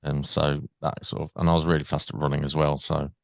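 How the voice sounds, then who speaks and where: Very deep-voiced English man, easygoing. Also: little pitch movement, fast talker, gravelly, no room sound, clean studio sound. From England. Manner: easygoing, rambling, repeats words. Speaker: podcast host. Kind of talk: casual interview chat.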